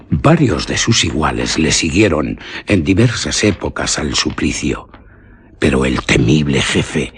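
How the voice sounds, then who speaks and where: A man narrates a radio horror drama. His voice is extremely deep and very husky, with animated rises and falls in the scripted delivery.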